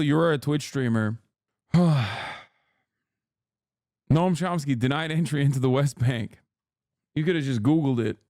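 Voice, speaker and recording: Man, thirties, streamer, sarcastic, profane, smooth voice, dry close mic. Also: on the high side, darkish tone, dry recording. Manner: sarcastic, profane, reads text aloud then mocks it. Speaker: streamer reacting to news. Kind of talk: casual live-stream commentary.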